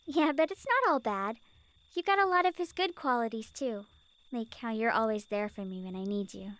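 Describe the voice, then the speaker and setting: High-voiced grieving young sister played by an actress. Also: female voice, moderate pace, fairly bright tone, lightly acted. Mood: teasing, warm. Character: grieving young sister, guilt-ridden, earnest, teasing with her brother. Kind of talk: visual novel voice acting. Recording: music playing behind the voice.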